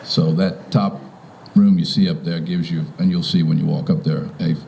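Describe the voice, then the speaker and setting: A mature man with a very deep and dark, relaxed voice. He gives an informal welcome speech, often stopping and rephrasing mid-thought. There is some background noise around him outdoors. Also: moderate pace, gravelly, poor audio. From Virginia, United States. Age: 50s or older. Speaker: property owner welcoming guests. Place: outdoors in front of a house.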